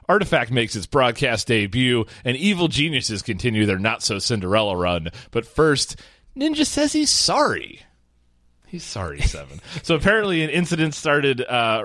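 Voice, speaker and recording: A man's voice: mid-range voice, bright, forceful tone, dry recording, clean studio sound. A man hosting an esports podcast, joking while running through the week's news in casual chat. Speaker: podcast host.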